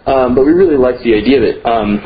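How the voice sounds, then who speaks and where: A man in casual interview conversation, a theater festival director talking in a relaxed, rambling way with many fillers. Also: medium pitch, fast talker, dull audio, slight background noise. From United States.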